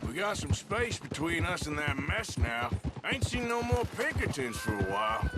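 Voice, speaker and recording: An actor playing a rugged, wry Wild West outlaw in a video game, with an American accent and a folksy drawl. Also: male voice, high voice, wildly swooping pitch, normal speed, loud music behind the voice. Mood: wry, relaxed. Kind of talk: dramatic video game acting.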